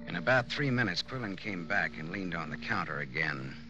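An actor playing a wry, world-weary private detective, clipped and deadpan, in 1940s radio drama style. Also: male voice, low voice, a little fast. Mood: terse, weary. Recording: dull old radio recording with music.

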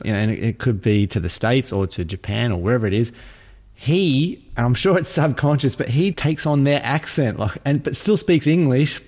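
A man's voice: mid-range voice, a little mellow. A man with a mid-range voice interviewing a guest on a podcast. He talks casually and conversationally.

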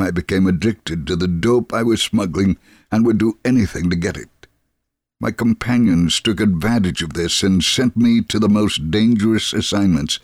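A man's voice: low voice, darkish tone, no echo, studio quality. A man reading a scripted first-person testimony as a story narrator.

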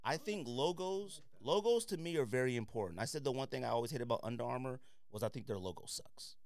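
A man with a smooth, clear voice speaks in a dry, close-sounding space. He hosts a casual review podcast, giving firm opinions in relaxed slang and hammering points by repeating them. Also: medium pitch, fast talker, no room sound. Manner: opinionated, slangy, emphatic repetition. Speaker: podcast host. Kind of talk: casual sneaker review chat.

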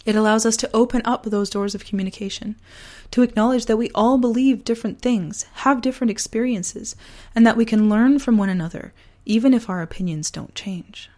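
A woman hosting a personal podcast, talking earnestly and deliberately, her voice partly gone from a sore throat. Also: fairly high pitch, mellow. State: losing voice from a sore throat virus. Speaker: podcast host. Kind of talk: personal podcast, reflective essay and casual chat.

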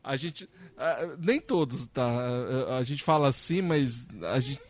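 A Brazilian man hosting a casual podcast chat, friendly and quick to laugh, often stumbling and restarting. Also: medium pitch, husky, dry recording. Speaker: podcast host.

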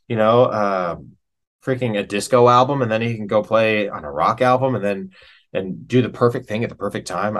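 A man's voice: mid-range voice, a bit bright. Enthusiastic, rambling man chatting casually. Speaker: musician, interview guest. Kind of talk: casual interview chat.

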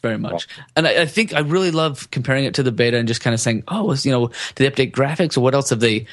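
A man with a medium-pitched voice co-hosts a casual gaming podcast. He is self-deprecating and tells stories by acting out bits of dialogue. The sound is close and dry, with very little room. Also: animated, quick pace, no room sound. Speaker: podcast co-host.

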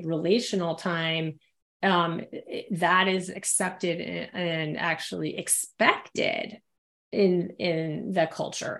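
Gravelly lower-voiced woman guest. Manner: rambling, with frequent fillers. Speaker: podcast guest. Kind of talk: conversational interview.

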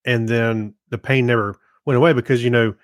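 A man's voice: mid-range voice, steady tone, brisk, dry recording. Guy cohost on a podcast, regular mid voice, folksy and a bit wry, just chatting, really dry audio. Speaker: podcast co-host. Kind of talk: casual conversational commentary.

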